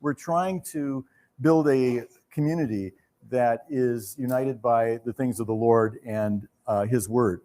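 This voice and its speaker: A man hosting a live benefit evening, speaking to an audience in a measured, halting and courteous way. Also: mid-range voice, dark tone. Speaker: host of a theater benefit evening. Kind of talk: live fundraising appeal to an audience.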